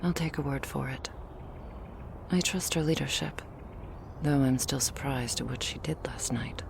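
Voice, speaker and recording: Woman voicing a very low, stern vampire bodyguard. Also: moderate pace, creaky, background noise. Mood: guarded. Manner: low, hushed, dry and terse. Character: Kazumi, stern, guarded female vampire bodyguard. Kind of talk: ASMR audio roleplay.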